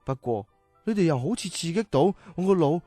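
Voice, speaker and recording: A fairly high-voiced, theatrical gentleman inventor from the past, acted by a man, recorded dry. He is earnest, excitable and easily flustered, made for a children's audio drama with faint music. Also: fairly quick, no echo. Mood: pleased.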